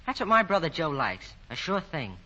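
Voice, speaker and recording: A very sharp, bright young male voice comes through a dull old radio recording. It is an eager kid jockey, nervous and plucky. He stammers politely, his fairly high pitch jumping about. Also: sing-song, normal speed, heavily acted. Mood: earnest. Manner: stammering, deferential. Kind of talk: 1940 radio drama acting.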